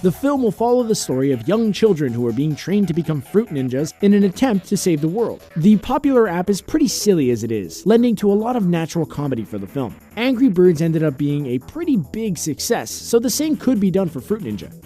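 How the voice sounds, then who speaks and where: Guy narrating a top ten list, soft mellow voice that moves up and down a lot, music behind. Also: high-pitched, sing-song, fairly quick, hardly any pauses. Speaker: narrator of a video game and film countdown. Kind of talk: scripted countdown narration. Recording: music bed under the voice. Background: background music.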